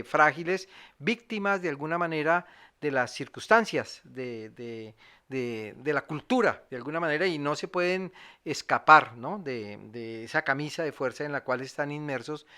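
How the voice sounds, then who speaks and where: Male theatre commentator, fairly high and very bright voice, discursive and reflective, seeks agreement. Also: smooth. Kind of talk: reflective spoken analysis.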